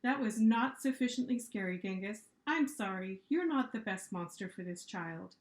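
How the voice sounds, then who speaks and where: Storybook casting: woman as a strict, formal monster schoolmaster, male, issuing brisk instructions in an echoey room. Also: medium pitch, expressive, close to an everyday voice.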